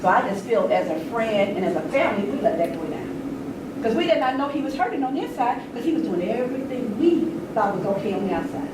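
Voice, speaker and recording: A middle-aged American woman moderating an informal public panel discussion in a conversational, emphatic, questioning way. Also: mid-range voice. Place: large indoor event space with audience. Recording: echoey, very noisy room, distant mic.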